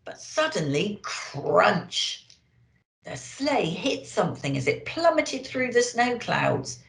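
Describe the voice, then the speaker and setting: A woman reading a children's storybook aloud in an expressive, theatrical way, addressing young listeners. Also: mid-range voice, slow, gravelly. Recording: echoey, roomy sound.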